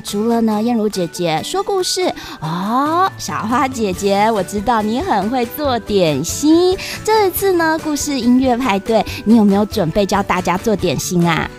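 A woman hosting a children's story program, promoting a family event in a sing-song, children's-show manner, with soft music behind her. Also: on the high side, sing-song, unhurried, hardly any pauses. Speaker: storyteller host.

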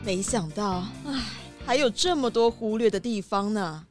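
A woman's voice: medium pitch, lively intonation, normal speed, soft background music, close to an everyday voice. A woman playing a kindly, chatty town mayor in a children's radio drama. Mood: grateful.

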